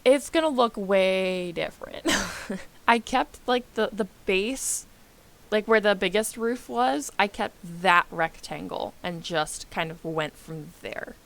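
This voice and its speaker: A woman's voice: medium pitch, vocal fry. A young American woman, a little congested, giving a rambling, self-deprecating voice-over on her game build and laughing often. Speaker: gaming YouTuber.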